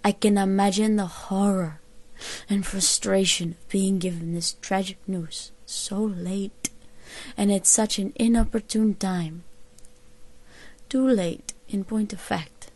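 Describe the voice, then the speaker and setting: A woman's voice: mid-range voice, steady tone, slow, darkish tone, no echo. A woman playing an earnest army private, narrating a formal, reflective written account in an audio drama. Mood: reflective, somber. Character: earnest female army private writing a 19th-century account.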